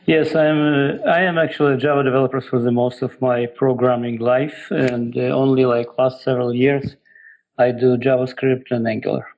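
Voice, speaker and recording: Gravelly middle-aged man with Eastern European accent. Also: medium pitch, steady tone, moderate pace, a little mellow, dull audio. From Ukraine. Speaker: guest, software developer. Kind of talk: casual interview answers.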